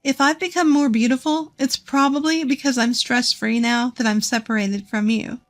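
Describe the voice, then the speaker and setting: A woman's voice: on the high side, steady tone, moderate pace, natural voice. A woman voicing a middle-aged divorced woman in an audio story, scornful, blunt and self-assured. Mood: indignant. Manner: indignant, cutting, firm.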